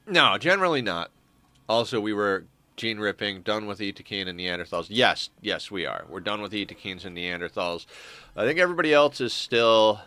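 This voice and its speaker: A man's voice: lowish voice, expressive, normal speed, pushed and bright, no room sound. A young man with a stuffed-up nose giving rambling, wry commentary while streaming a game. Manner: rambling, self-correcting, wry. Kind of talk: casual gameplay commentary.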